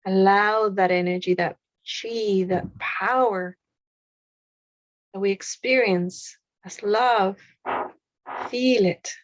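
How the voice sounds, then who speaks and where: A woman leading a guided meditation in a soothing, unhurried way, with pauses between short phrases. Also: mid-range voice, steady tone, no echo, muffled recording.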